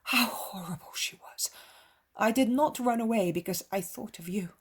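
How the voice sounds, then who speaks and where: A woman's voice: medium pitch, moderate pace, husky, natural voice. A woman voicing the apostle Andrew, a humble fisherman disciple, telling his story earnestly and reverently. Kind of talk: devotional reading.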